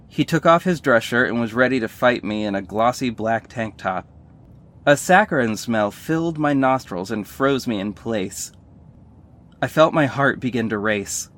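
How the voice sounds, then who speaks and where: A man's voice: mid-range voice, a bit bright. An actor playing a sardonic male superhero who narrates his own story in a scripted audio drama, wry and earnest.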